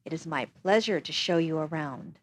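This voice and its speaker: A woman voicing Jonah, a patient, calm older Swiss man, in an audiobook reading. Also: low-pitched. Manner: calm, unhurried, reassuring.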